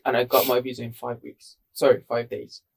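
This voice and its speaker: A young Iranian man chatting casually on a podcast in his second language. He hesitates often, filling pauses and correcting himself mid-sentence. His voice sits at a middle pitch. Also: monotone, creaky, husky. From Iran. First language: not English. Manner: hesitant, with many fillers and self-corrections. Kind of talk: casual chat.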